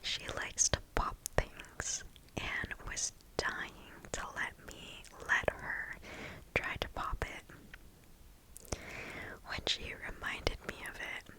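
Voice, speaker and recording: A woman reading stories aloud for ASMR in a breathy, hushed whisper, close to the microphone. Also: poor audio. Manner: slow, hushed, deliberate.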